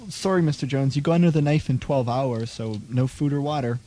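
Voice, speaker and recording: A man's voice: medium pitch, a little fast, warm tone, a little hiss or hum, natural voice. A man playing an exasperated, hesitant male nurse in a comedy sketch, fussy and prone to stammering. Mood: stern, exasperated.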